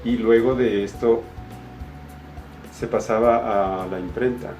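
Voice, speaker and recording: An Ecuadorian man giving an informal, somewhat halting explanation of his work as an illustrator, often repeating words. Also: medium pitch, fairly even intonation, darkish tone. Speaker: comic illustrator. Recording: roomy echo with music behind. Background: background music.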